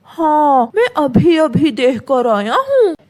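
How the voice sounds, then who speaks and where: A woman's voice: sits high, very animated, slow pace, a little mellow, exaggerated voice. A woman voicing a mischievous young boy crow in a children's cartoon, wheedling and evasive. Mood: sly. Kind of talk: children's animated story dialogue.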